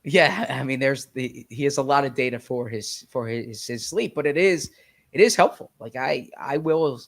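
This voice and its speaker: A man co-hosting a sports podcast in casual chat, slightly nasal from a cold, talking haltingly with many restarts. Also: medium pitch, gravelly.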